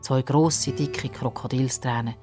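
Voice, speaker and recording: A woman's voice: very deep voice, steady tone, measured pace, warm tone, soft background music. A woman narrating a children's story expressively from a script.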